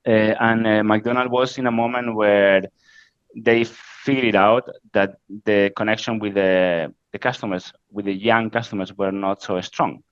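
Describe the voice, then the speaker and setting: Spanish-accented man, halting and low. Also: fairly even intonation, clear, resonant tone, no echo, highs cut off. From Spain. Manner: halting, frequent "uh" fillers, non-native grammar. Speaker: interview guest, creative director. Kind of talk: casual interview answers.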